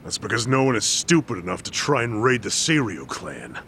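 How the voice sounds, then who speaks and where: A man's voice: fairly low pitch, big pitch swings, moderate pace, fairly bright tone, slight background noise. A man playing a cold, threatening yakuza clan enforcer in a dubbed video game cutscene. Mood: cold menace.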